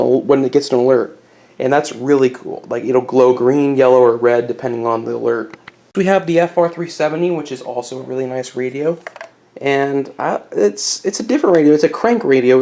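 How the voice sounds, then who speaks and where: A man's voice: fairly high pitch, creaky, warm tone. A man giving a casual, unscripted show-and-tell as a hobbyist video host, rambling a bit and correcting himself with mild enthusiasm.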